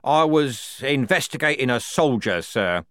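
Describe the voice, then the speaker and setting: A man's voice: medium pitch, animated, normal speed, fairly bright tone, dry recording, studio quality, voice pushed up, lightly acted. A man voicing a shifty, snarling army captain in an audiobook, defensive and evasive in his speech. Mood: reluctant, defensive. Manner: hesitant, evasive. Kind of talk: audiobook character dialogue.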